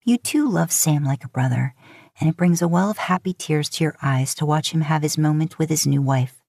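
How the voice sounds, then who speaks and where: A woman's voice: low voice, moderate pace, vocal fry, mellow, no room sound, studio quality. A woman reading scripted fiction as an audiobook narrator.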